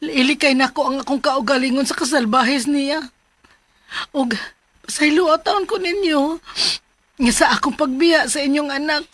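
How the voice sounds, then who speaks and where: A woman's voice: high-pitched, moderate pace, long pauses, fairly bright tone, no room sound. A woman playing a desperate, battered young mother in a radio drama, pleading in a Cebuano accent. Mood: anguished pleading. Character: battered young mother, desperate, leaving her abusive husband.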